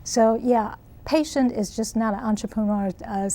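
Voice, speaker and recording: Taiwanese-accented woman, high bright voice. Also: steady tone, normal speed, a little hiss or hum. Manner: earnest, explanatory, frequent laughs. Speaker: guest. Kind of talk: interview answers.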